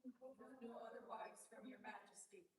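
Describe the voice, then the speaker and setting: Woman acting solemn young king, measured shakespeare stage reading, echoey and far off, bit of hiss. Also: a little high, natural voice. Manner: formal, measured declamation. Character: solemn young king, newly crowned, stern and proud. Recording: echoey room, distant mic, faint hiss.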